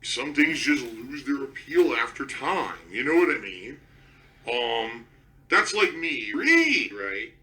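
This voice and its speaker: A man with a raspy voice, a gaming streamer talking casually and reflectively to his viewers. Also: medium pitch, very animated, takes it slowly, bright, forceful tone.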